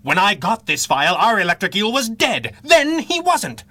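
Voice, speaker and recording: A man's voice: high-pitched, wildly swooping pitch, moderate pace, pushed and bright, dry recording, heavily acted. A man playing a guilt-ridden masked crime fighter in a 1930s-style radio adventure, speaking with formal, earnest, dramatic diction. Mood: anguished, urgent.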